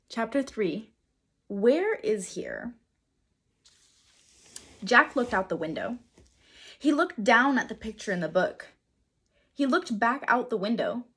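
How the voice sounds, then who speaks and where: A woman reads a children's storybook aloud like a teacher. Her delivery is slow and dramatic, with very varied pitch and deliberate pauses. The voice has a slightly husky grain. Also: fairly bright tone.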